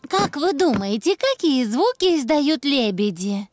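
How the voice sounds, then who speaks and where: A kindly lady schoolteacher from a children's cartoon speaks in a high, lilting voice. She is slow, orderly and encouraging, with music behind her. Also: sing-song. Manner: deliberate, encouraging, sing-song. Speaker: teacher.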